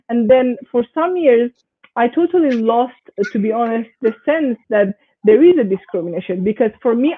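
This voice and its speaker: A woman from Iran speaking as a panelist in an online discussion, hesitant with many fillers and restarts. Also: a little high. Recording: tinny phone-like call audio.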